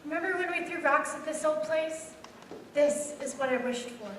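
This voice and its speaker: A woman's voice: high-pitched, normal speed, lightly acted. A woman playing a sweet, generous young wife in a stage radio play. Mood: tender. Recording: very echoey hall, distant, faint noise.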